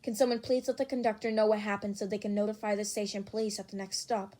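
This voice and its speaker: A woman's voice: mid-range voice, flat delivery, quick pace, mellow, lightly acted. A woman voicing a polite, earnest teenage boy superhero in training for an audiobook. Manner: courteous, earnest.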